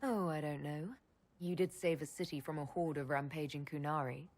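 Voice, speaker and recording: A young elven leader in a fantasy game, composed and faintly wry. Her voice is husky and on the low side, with measured delivery. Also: steady tone, darkish tone. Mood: wry, playful. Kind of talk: video game cutscene acting.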